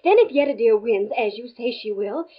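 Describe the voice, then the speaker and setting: An actress playing a practical, persuasive fiancee in a 1950s radio drama. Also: female voice, a little high, animated, fairly quick. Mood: coaxing, skeptical. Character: practical, coaxing fiancee of a stuffy academic. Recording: muffled, faintly noisy 1950s radio recording.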